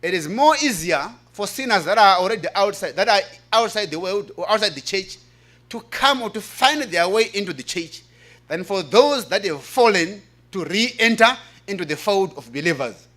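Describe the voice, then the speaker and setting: A man's voice: high voice, expressive, normal speed, fairly bright tone. A man with an African accent giving an earnest, emphatic conference presentation in a preaching style, repeating key phrases. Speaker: presenter reading a paper at a church health forum.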